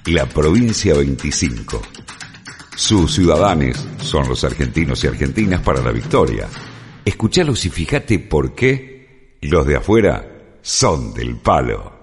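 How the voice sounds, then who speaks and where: Announcer, man, very deep and expressive voice, scripted promo over background music. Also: unhurried. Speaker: program announcer.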